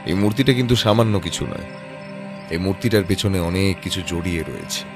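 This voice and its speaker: An actor playing a composed, analytical private detective in an audio drama, reasoning aloud in a measured way. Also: male voice, low voice, over music. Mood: thoughtful.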